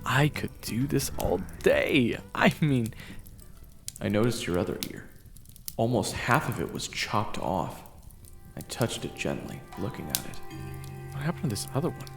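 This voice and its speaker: A man performing a first-person audio roleplay, narrating and talking to the listener, often stammering and flustered, sometimes whispering and sometimes yelling. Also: mid-range voice, wildly swooping pitch, a little slow, vocal fry. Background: video game soundtrack music.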